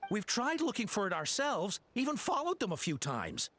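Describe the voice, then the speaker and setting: A man's voice: sits high, wildly swooping pitch, normal speed, fairly bright tone, faint music behind, heavily acted. A man playing a nervous, stammering video game henchman who pleads as he confesses. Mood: anxious explaining. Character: nervous male henchman confessing under pressure. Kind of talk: video game dialogue acting.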